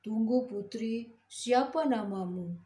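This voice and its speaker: A woman's voice: a little high, slow pace, mellow, voice pushed up. Woman putting on an eager, courteous young prince, echoey room. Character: young polite male prince.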